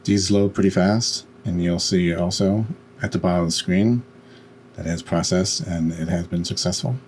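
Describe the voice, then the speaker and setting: Male tutorial narrator, deep register, halting step-by-step instruction, noisy home recording. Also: steady tone, darkish tone. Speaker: tutorial host.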